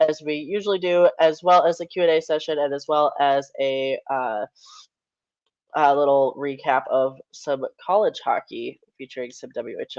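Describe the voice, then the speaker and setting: A man's voice: fairly high pitch, a little mellow, no room sound, highs cut off. A young man hosting a casual podcast, stuffed-up and nasal, rambling through updates and stats with plenty of fillers. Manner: rambling, self-correcting with fillers. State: congested, nasal. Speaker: podcast host.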